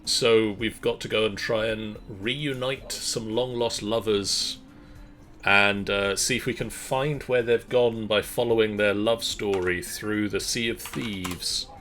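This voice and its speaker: A middle-aged man hosts a casual livestream. His voice is fairly low yet bright and forward, and he talks haltingly with frequent pauses. Faint music sits behind him. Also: fairly even intonation. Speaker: streamer and host. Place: small room at home.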